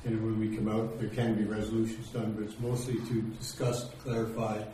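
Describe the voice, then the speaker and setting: A man's voice: lowish voice, flat delivery, a little fast, warm tone. A man chairing a township council meeting, explaining procedure and the agenda item by item. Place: council chamber. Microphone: table microphone. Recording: echoey room from far off, faint noise.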